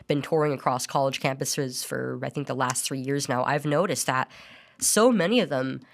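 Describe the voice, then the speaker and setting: A woman's voice: very deep voice, normal speed, gravelly. A young adult woman telling her personal story as a podcast guest, earnest and often restarting her sentences. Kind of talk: personal testimony in a podcast interview.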